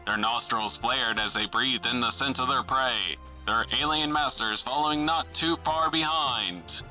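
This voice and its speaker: Bright, theatrical radio-serial announcer played by a man. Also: mid-range voice, measured pace, soft background music, rough recording. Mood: suspenseful. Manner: theatrical, suspenseful. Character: dramatic male announcer of a pulp adventure serial. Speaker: narrator of a serial. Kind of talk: old-time radio serial narration.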